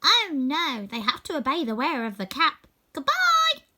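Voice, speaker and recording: Storybook mouse monarch, little and squeaky, pitched way up, instructive and brisk. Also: female voice, huge pitch swings, heavy background noise. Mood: cautioning. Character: tiny squeaky Queen of the Mice, helpful and bossy.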